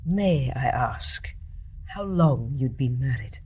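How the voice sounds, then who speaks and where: Low, husky, indignant nurse. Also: female voice, animated, slow, a little mellow, exaggerated voice. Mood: disapproving. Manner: formal, accusatory. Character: prim, indignant, judgmental private nurse. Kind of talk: stage-play radio drama acting.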